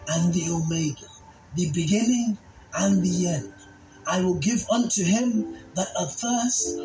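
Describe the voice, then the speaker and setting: Slow, solemn preacher in echoing sound. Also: medium pitch, a little mellow. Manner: solemn, emphatic, deliberate phrasing. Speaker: Bible teacher and narrator. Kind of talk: dramatized scripture reading and sermon. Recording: echoey voice over background music.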